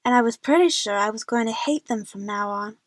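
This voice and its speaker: A woman narrates a first-person story for an audio drama. Her voice is on the high side and recorded very dry, without room sound. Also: brisk, no echo.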